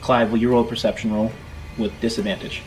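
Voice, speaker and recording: Halting male game master over music. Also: lowish voice, flat delivery, rough recording. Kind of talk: improvised tabletop game narration. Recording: background music under the voice.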